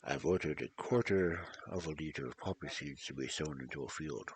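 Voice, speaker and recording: A man voicing a demanding older king who issues formal, measured decrees in a storybook tale. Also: extremely low pitch. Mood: stern, demanding. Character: stately, demanding older king and protective father.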